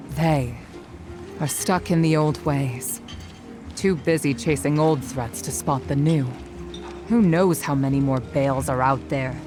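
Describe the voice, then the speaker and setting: A solemn warrior mentor woman from a video game. Her voice sits low and moves slowly, with earnest, measured weight. Loud music plays behind her. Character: principled veteran warrior woman, solemn mentor of a holy order. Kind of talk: dramatic video game cutscene acting.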